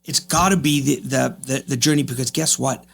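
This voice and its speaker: A man's voice: medium pitch, steady tone, normal speed, vocal fry, a bit bright, a little hiss or hum. A man in his fifties speaking as an interview guest, reflective and emphatic, repeating phrases for effect. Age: 50s. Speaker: podcast guest, real estate agent.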